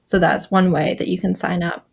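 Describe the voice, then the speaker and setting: Chatty woman with a creaky, husky voice. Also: lowish voice, steady tone, normal speed. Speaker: booktuber on a live readalong panel. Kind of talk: casual chat. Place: live group video stream, webcam or phone. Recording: live group video call.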